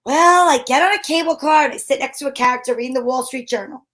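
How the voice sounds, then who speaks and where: Sassy high-voiced lady private eye, played by a woman. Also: fast, fairly bright tone. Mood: wry, impatient. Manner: brash, slangy, wry. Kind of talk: old-time radio detective acting.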